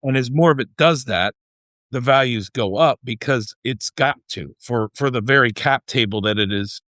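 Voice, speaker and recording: A man's voice: mid-range voice, moderate pace, fairly bright tone, no room sound, clean studio sound. A man hosting a podcast, explaining his thinking conversationally in rambling, emphatic phrases. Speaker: podcast host.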